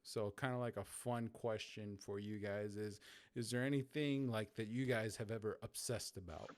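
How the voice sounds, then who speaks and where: A man's voice: lowish voice, fairly even intonation, moderate pace, a little mellow, slight background noise. A man hosting a podcast, interviewing casually in an informal, accommodating way. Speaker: podcast host.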